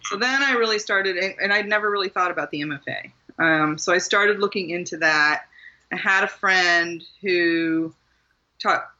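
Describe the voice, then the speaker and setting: A woman's voice: fairly low pitch, bright, forceful tone, highs cut off. A woman from Maryland, a guest author, talking casually in an interview, rambling a little and laughing often. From Maryland, USA.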